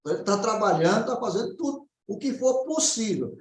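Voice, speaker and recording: Brazilian man, naturopath in consultation, explanatory with frequent check-in tags, higher pitch, roomy echo. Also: animated, a little fast. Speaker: naturopath answering questions. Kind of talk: informal health consultation.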